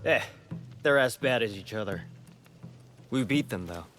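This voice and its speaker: Cocky, lively-pitched village rogue. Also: male voice, measured pace, soft background music. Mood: cocky, amused. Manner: casual, brash. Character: cocky, flirtatious male village rogue, a scrappy fighter. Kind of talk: video game cutscene acting.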